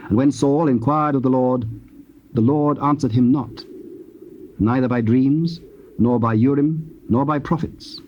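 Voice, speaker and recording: A man narrating scripture from a script in a solemn, measured, formal manner. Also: mid-range voice, darkish tone. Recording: dull, faintly noisy old cassette.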